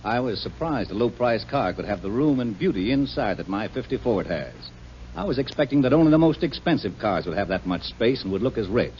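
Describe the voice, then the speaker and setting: A man's voice: medium pitch, expressive, fairly quick, slight background noise. A man giving a plain, earnest customer testimonial in a 1950s radio commercial. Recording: dull old radio broadcast.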